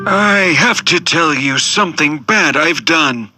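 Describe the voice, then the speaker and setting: A man's voice: a little high, expressive, slow pace, heavily acted. A man playing a sorrowful, apologetic merchant father in a fairy tale. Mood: regretful. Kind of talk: scripted fairy-tale character acting. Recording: loud music under the voice.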